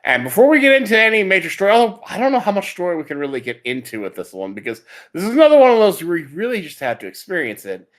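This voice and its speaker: A man's voice: sits high, wildly swooping pitch, very fast, hardly any pauses, fairly bright tone. A man hosting a podcast, chatting casually about anime in a rambling, enthusiastic way. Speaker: podcast host.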